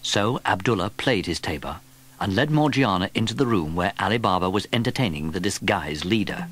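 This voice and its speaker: A man narrating a children's story from a script in an expressive storytelling manner. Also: low voice, fairly quick. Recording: vintage cassette tape. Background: music.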